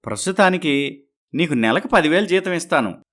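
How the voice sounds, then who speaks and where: A man's voice: fairly high pitch, expressive, moderate pace, a bit bright. An actor voicing an ordinary man in a dramatised moral story. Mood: businesslike. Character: men around the heroine: doctor, boutique owner, meek husband.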